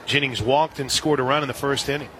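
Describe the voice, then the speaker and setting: A man doing live radio play-by-play commentary of a softball game. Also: mid-range voice, quick pace, low-quality recording. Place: outdoor softball stadium broadcast position. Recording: outdoor ballpark, clear crowd noise behind.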